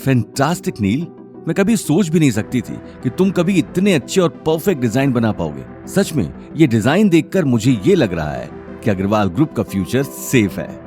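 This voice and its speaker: A man's voice: medium pitch, very animated, moderate pace, warm tone, soft background music, close to an everyday voice. A man voicing an admiring, impressed female office colleague in an audio drama.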